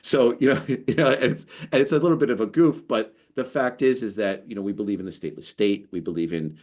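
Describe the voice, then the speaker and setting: Deep-voiced man telling a rambling story. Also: brisk. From United States. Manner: animated, storytelling, lots of restarts. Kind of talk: casual conversation.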